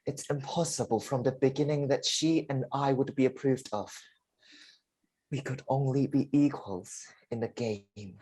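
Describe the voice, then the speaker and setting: A man performs a dying father in a play reading. His voice is husky and mid-pitched, and he takes his time over each phrase. He sounds weary and earnest, pleading to be understood. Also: fairly even intonation, warm tone, rough recording. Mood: tender, remorseful. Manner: slow, deliberate, emotive delivery.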